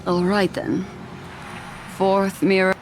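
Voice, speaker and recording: A woman playing a composed, enigmatic memory keeper in a video game, speaking formally and in a measured way. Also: medium pitch, flat delivery, noisy background, lightly acted. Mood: composed, polite. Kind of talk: video game character voice acting.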